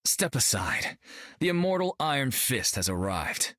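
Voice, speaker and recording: Brash joking martial arts hero, dry booth recording. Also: male voice, mid-range voice, big pitch swings, unhurried, fairly bright tone, no echo. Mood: cocky. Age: young adult. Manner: cocky, joking. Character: brash young male martial artist, cocky and upbeat. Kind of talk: video game voice acting.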